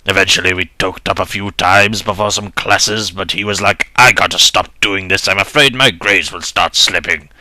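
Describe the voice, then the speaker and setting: Low, bright-toned man reading dramatically. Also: steady tone, moderate pace, few pauses, no room sound. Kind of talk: dramatic reading of a first-person story.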